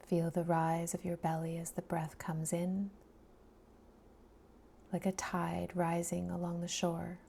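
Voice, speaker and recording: A woman leads a guided body scan at a very slow, unhurried pace. Her voice is mellow and fairly low, with creaky edges. She speaks softly and breathily, often sinking toward a whisper. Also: completely flat delivery, a little hiss or hum. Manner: soft, soothing, unhurried delivery with long pauses. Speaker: meditation guide.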